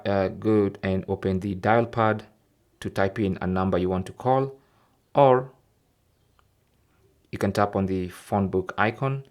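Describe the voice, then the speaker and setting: Man, low-pitched voice, tech tutorial host, step-by-step explanatory delivery, instructional narration. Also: a little mellow. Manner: explanatory, step by step, often checking with "okay?".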